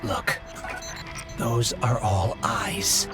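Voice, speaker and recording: A man's voice: medium pitch, drawn-out words, over loud music. A man playing an anxious, earnest little robot companion in a video game, with a worried, urgent way of talking.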